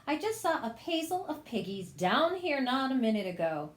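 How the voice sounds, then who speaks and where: A woman's voice: sing-song, normal speed. A woman voicing a cranky, greedy female witch who shrieks demands and insults while reading a storybook aloud. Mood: demanding, shrill. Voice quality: shrill, screechy. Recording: echoey room.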